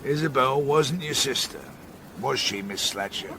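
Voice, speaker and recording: An actor playing a gruff, sardonic older chief inspector with a British accent in a radio drama, talking in a blunt, patronising way. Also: male voice, mid-range voice, a little slow, pushed and bright, a little hiss or hum. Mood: accusing.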